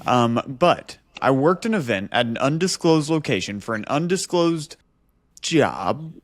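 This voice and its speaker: A man's voice: mid-range voice, very animated, measured pace, no room sound. A man co-hosting a podcast, telling an anecdote casually and animatedly, repeating phrases for emphasis. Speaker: podcast co-host.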